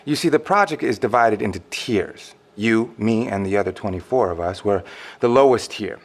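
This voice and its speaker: An actor playing a cagey, unflappable middle-aged man with secret knowledge, speaking in a measured, dry audio drama delivery. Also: on the low side, animated. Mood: knowing, assured. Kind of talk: naturalistic audio drama acting.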